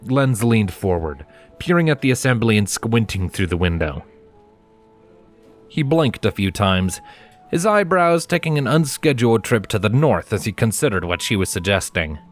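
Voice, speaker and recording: Low-voiced man narrating a story. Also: big pitch swings, normal speed. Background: music.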